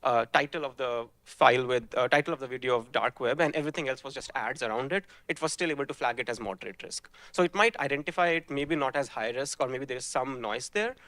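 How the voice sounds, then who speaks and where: A man's voice: medium pitch, quick pace, hardly any pauses, fairly bright tone, dry recording. A man presenting his team's hackathon project, explaining the technical pipeline step by step and often restarting his sentences.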